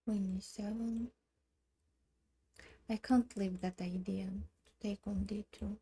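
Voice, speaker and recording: Slow, husky Armenian-born woman. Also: medium pitch, fairly even intonation, dark tone. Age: 30s. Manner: slow, halting, muttering moves half to herself. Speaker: chess streamer. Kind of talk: thinking aloud while playing online chess.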